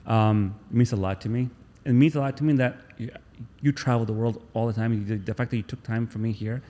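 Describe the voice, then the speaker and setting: A man's voice: mid-range voice, steady tone, brisk, warm tone, long reverb tail. A man hosting a podcast, effusive and eager, repeating himself as he thanks his guest and pushes follow-up questions. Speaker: podcast host. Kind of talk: casual interview.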